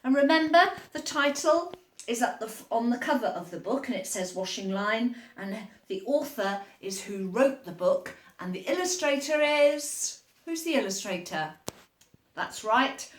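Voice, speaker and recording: Female storyteller, higher-pitched, echoey room, animated picture-book reading with teacherly asides for little ones. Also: a little slow. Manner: encouraging, explains and asks questions to young listeners. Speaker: nursery storyteller reading picture books to children. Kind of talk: animated read-aloud storytime with asides to young children.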